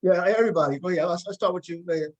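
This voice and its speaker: A man hosting a casual interview, often repeating words haltingly as he goes. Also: high-pitched, darkish tone, lo-fi sound. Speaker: talk show host.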